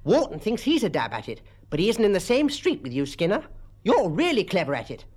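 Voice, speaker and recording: A man's voice: high voice, wildly swooping pitch, fairly quick, a little mellow, pitched above the performer's own voice, exaggerated voice. A British man voicing a fat, dim schoolboy for a storybook, wheedling and blustering as he talks. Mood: wheedling, flattering. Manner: wheedling, blustering, stammering when cornered. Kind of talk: character voice in a storybook reading.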